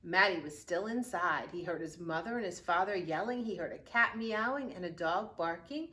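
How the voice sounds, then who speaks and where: Librarian lady reading a picture book to kids, bright voice, acts it out dramatically. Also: lowish voice. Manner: expressive, dramatic storytelling with drawn-out words. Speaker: youth services librarian reading aloud. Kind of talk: children's picture book read-aloud.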